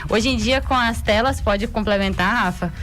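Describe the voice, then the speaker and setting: A woman's voice: on the high side, normal speed, a bit bright. A young woman with a Bahian Brazilian accent explaining her work as a radio interview guest, earnest and talkative. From Guanambi region, Bahia, Brazil. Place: radio station studio. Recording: music behind the voice. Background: music.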